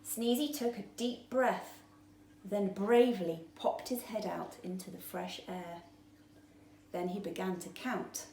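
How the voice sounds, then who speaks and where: A woman reading her own children's picture book aloud with slow, expressive storytelling. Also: medium pitch. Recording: roomy echo, faint background noise.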